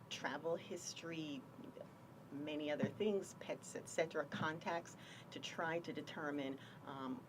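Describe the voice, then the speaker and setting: A woman from Jamaica, a doctor explaining her work in a radio health interview, measured and full of pauses. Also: low voice, recorded from a distance, background noise. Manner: measured, explanatory, frequent ums. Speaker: infectious diseases doctor, guest.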